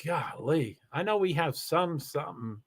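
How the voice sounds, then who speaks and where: A man streaming live from home, chatting casually and rambling excitedly with lots of exclamations and restarts. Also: fairly high pitch, unhurried, slightly rough. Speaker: live streamer reading chat. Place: home in Washington State.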